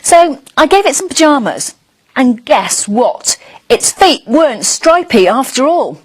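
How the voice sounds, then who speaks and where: Woman voicing a curious young dragon, very animated storybook narrator. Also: high voice, husky, voice pushed up, exaggerated voice. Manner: expressive, wide-eyed storytelling with drawn-out emphasis.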